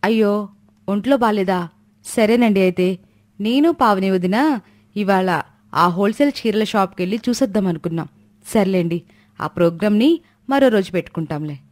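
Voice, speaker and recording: A woman's voice: mid-range voice, lively intonation, moderate pace, long pauses, a little mellow, no room sound, lightly acted. A woman voicing a caring, long-suffering housewife in a family storybook tale. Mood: sympathetic.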